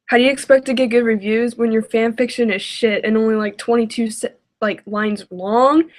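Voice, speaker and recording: A woman's voice: fairly high pitch, steady tone, normal speed. A woman giving casual, sarcastic, exasperated reaction commentary.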